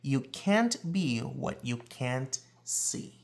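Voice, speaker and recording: A man reads a children's picture book aloud at a slow, deliberate pace. His pitch moves with lots of animation, and he draws out key words for emphasis like a storyteller. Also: gravelly. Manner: deliberate, expressive storytelling delivery with drawn-out emphasis. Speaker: read-aloud reader.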